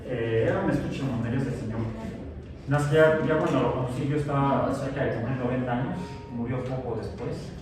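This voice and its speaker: A man's voice: medium pitch, fairly quick. A man, a science teacher chatting casually with his class, telling rambling stories full of fillers and restarts. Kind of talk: casual chat with students before class. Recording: very echoey room, distant mic, background noise.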